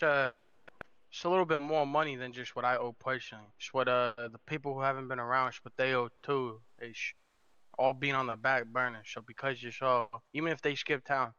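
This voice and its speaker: Man as bright, clear-voiced indebted mobster. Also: mid-range voice, fairly even intonation, normal speed, no room sound, muffled recording, lightly acted. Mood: insistent. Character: indebted mobster explaining who owes what. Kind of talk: improvised crime roleplay in an online game.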